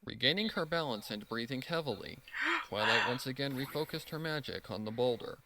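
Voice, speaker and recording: A man's voice: medium pitch, lively intonation, fairly quick, background noise. A man reading a scripted story as narrator, with a solemn, dramatic delivery. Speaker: narrator reading fan fiction.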